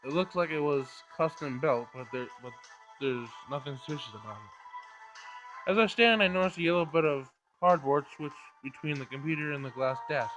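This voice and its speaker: A man's voice: a little high, moderate pace, a bit bright, highs cut off. A man reading visual novel narration aloud as he plays, stumbling over words now and then. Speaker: gameplay streamer reading aloud. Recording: game music behind. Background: game music.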